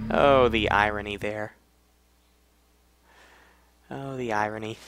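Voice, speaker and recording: A man's voice: lowish voice, pushed and bright. A man giving casual gameplay commentary in offhand, mumbled asides over a video game. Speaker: gamer recording a playthrough. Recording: game soundtrack behind the voice. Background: video game music and sound.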